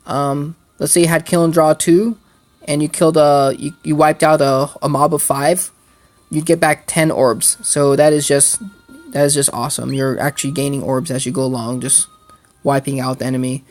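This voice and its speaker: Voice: mid-range voice, little pitch movement, normal speed, a little mellow, soft background music. A gameplay host giving casual, explanatory commentary with strategy tips.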